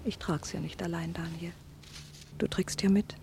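Hauchende, zärtlich-bange junge Sängerin. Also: female voice, fairly low pitch, steady tone, normal speed, darkish tone. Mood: anxious, earnest. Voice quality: breathy, often whispering. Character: Eva, young wartime singer in hiding, brave, tender, anxious. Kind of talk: German radio play acting, intimate dialogue. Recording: faint background noise.

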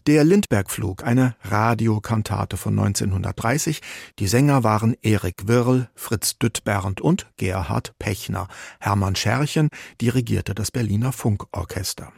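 Male radio presenter, low-ish and gravelly, wry scripted narration, dead-dry booth. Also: unhurried, darkish tone, no echo. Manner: light and wry, with dry asides. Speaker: radio host.

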